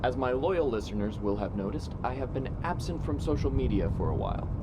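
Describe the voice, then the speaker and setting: A man's voice: medium pitch, lively intonation, fairly quick, natural voice. A young man playing an eager podcaster who explores abandoned sites, chatting earnestly to his listeners. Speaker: podcaster character. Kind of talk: radio drama narration, podcast intro. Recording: dull lo-fi audio with loud background noise.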